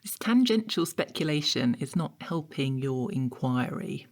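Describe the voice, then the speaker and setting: An actress playing a pompous art-history interrogator in an audio drama, formal, measured and prompting. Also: female voice, rumbling low, lively intonation, full character voice. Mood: firm, correcting. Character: probing, pompous female art-history interrogator keeping things on task.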